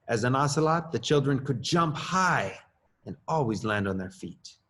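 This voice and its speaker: A middle-aged man, an assistant principal, reading his own children's story aloud with lively rises in pitch. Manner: expressive, lively rises on questions and exclamations.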